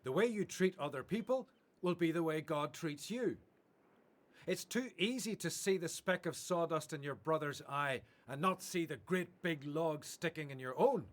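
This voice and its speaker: An actor playing a calm, authoritative male teacher preaching in a radio play, measured and assured. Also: on the high side, natural voice. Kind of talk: radio play acting, sermon delivery.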